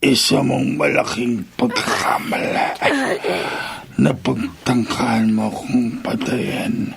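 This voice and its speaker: An actor playing a menacing, dying vampire in a horror radio drama, halting and vengeful in his threats. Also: mid-range voice, steady tone, creaky, breathy edge, heavily acted. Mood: dying menace.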